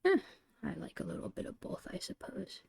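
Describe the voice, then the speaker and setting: A woman whispering a casual, gentle ASMR drawing tutorial in a breathy, unhurried voice. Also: dry recording, clean studio sound. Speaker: ASMR host and digital artist.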